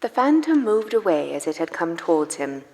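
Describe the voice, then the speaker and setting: A woman as the solemn storyteller of a live radio play, narrating in a measured, suspenseful and theatrical way. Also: fairly low pitch, big pitch swings, a little mellow, in a large hall, poor audio. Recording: music under live stage narration.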